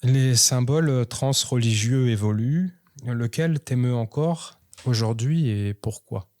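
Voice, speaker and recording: A male podcast host who puts deliberate, measured questions to his guest. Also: medium pitch, a little mellow. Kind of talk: posed interview questions.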